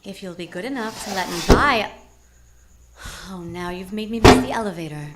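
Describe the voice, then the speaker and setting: A woman's voice: medium pitch, moderate pace, natural voice. Actress doing huffy proper young woman, old radio mystery style, lots of noise and clicks. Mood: indignant. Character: elegant, indignant young woman in a hurry. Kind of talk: 1940s radio drama acting. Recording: loud noise and clicks, live stage.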